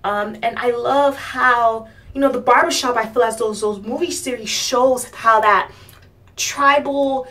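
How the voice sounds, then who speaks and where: A young woman from Miami talking casually to her channel's viewers, rambling with fillers and soft laughs. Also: on the high side, fairly even intonation, a little slow, distant mic. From Miami, United States. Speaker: vlogger. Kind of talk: casual talk to camera.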